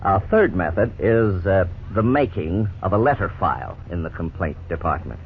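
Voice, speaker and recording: Deep-voiced radio lawyer-narrator. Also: male voice, lively intonation. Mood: earnest, explanatory. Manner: measured, didactic, earnest. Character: authoritative lawyer narrating a case history. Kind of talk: 1950s radio scripted narration. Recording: tinny, noisy 1950s radio recording.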